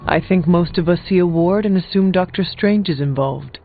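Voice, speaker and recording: Narrow, phone-like audio with faint music carries a solemn hunter of an older age in a video game. The voice sits fairly high. The speech is formal, deliberate and determined. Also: male voice. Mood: suspicious. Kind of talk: video game character dialogue.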